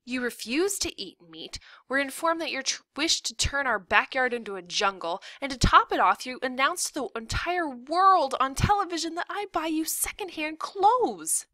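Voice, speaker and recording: A woman's voice: medium pitch, lively intonation, normal speed, pushed and bright, no echo. A woman voicing an exasperated, firm mother in a story, speaking sternly and emphatically. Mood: mounting exasperation.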